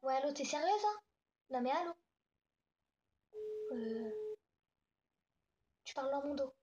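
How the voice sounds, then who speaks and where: A woman's voice: sits high, lively intonation, a little slow, darkish tone. A young girl chatting casually in slangy, improvised speech, thinking aloud as she makes prank calls. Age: child or young teen. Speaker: host making prank calls. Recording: dull lo-fi home recording.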